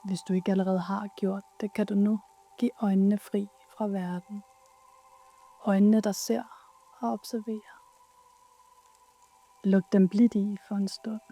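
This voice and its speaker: A woman leading a guided yoga nidra meditation in a soothing, soft, unhurried way with pauses. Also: mid-range voice, flat delivery.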